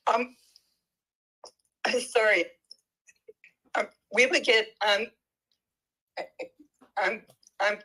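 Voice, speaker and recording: Caller: woman, slow halting speech, bright voice. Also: medium pitch, rough recording. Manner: hesitant, stalling with repeated ums.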